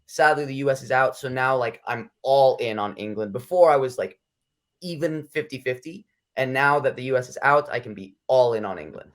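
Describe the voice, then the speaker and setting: A man talks through his interview answers in a loose, rambling way. He hedges and restarts often, filling gaps as he goes. His pitch sits in an ordinary middle range for a man. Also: fairly quick. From United States. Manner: rambling, self-conscious, hedging with fillers. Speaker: interview guest. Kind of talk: casual interview chat.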